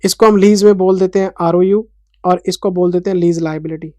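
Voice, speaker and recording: A man's voice: high-pitched, fairly even intonation, a little fast, warm tone, no room sound, lo-fi sound. A man in his forties, an accounting teacher giving a revision lecture in a passionate, scolding then explanatory manner, with an Indian English accent. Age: 40s.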